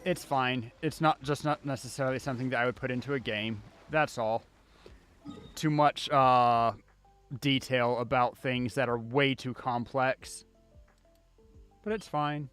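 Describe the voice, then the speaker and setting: Skeptical male game streamer, mid-pitched. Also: steady tone, unhurried, a bit bright, clear, resonant tone. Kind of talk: casual reaction commentary over a video game. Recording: game music behind the voice.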